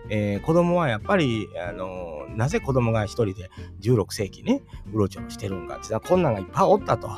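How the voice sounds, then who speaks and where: A man's voice: lowish voice, very animated, fast talker. A middle-aged man with a Kansai accent musing aloud about a fairy tale in a chatty way, often turning to his listeners with questions. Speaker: storytelling channel host. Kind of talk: casual spoken commentary on a fairy tale. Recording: music playing behind the voice.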